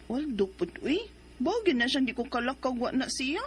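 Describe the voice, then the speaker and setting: An actress playing a young mother in a radio drama, who also narrates it, earnest and quick to defend herself. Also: high-pitched, lively intonation, breathy edge, full character voice. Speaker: narrator and lead.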